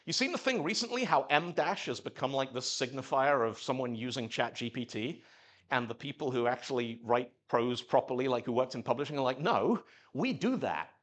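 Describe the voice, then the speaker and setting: A man's voice: medium pitch, brisk. A man from Zimbabwe giving a live technical talk at a meetup, telling stories and acting out quotes in little voices. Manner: animated storytelling, acts out quotes in voices. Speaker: conference speaker.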